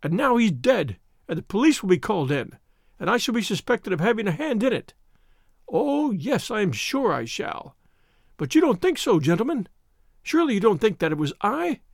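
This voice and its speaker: A cowering little man cries out in a sharp, querulous voice that leaps high and swoops wildly, as a man puts him on. Fear makes every word a plea. The sound is dry and close, with a gravelly edge. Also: very animated, normal speed, no room sound, full character voice. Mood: frantic pleading. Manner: shrill, pleading, near hysterical.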